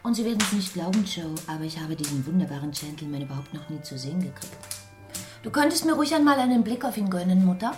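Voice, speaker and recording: An actress playing a young daughter in a German radio play, light, curious and teasing in manner. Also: female voice, on the low side, animated, fast talker, darkish tone, reverberant, faint music behind, lightly acted. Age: young adult. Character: carefree, teasing young daughter of London landlords.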